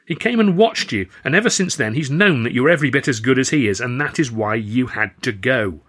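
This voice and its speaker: A man's voice: mid-range voice, expressive, brisk, fairly bright tone. Man as a resentful, insistent young comedian. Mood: bitter, insistent.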